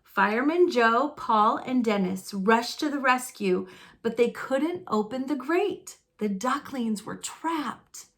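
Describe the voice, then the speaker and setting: A woman reads a picture book aloud for children. Her voice sits a little high and she takes her time, pausing deliberately for dramatic effect and shaping the lines expressively. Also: lively intonation, a bit bright. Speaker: storybook reader.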